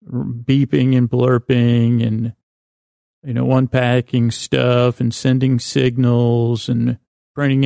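A man hosting a bedtime podcast in a creaky, gravelly voice, rambling in a lulling, halting way with frequent stammers and self-interruptions. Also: mid-range voice, a little mellow, no echo, clean studio sound. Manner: lulling, soothing. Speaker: podcast host. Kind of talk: rambling bedtime monologue to put listeners to sleep.

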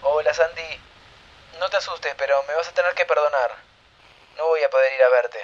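A man's voice: on the high side, brisk, lightly acted. An Argentine friend leaves a nostalgic, apologetic message, voiced by a man. The sound is muffled and slightly lo-fi. A faint hiss runs underneath. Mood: wistful. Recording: dull recorded message with faint noise.

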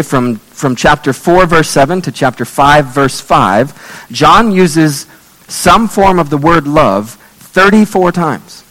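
Male pastor, hoarse, losing his voice. Earnest, measured sermon delivery with a long hall reverb. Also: medium pitch, a bit bright. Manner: earnest, measured preaching with scripture readings.

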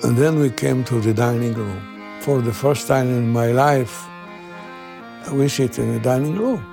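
A man in his eighties from Iraq with an Iraqi Arabic accent, a native Arabic speaker, telling personal memories in halting, repetitive phrases. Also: mid-range voice, gravelly, over music. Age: 80s. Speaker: interviewee recalling his youth. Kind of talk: personal oral storytelling.

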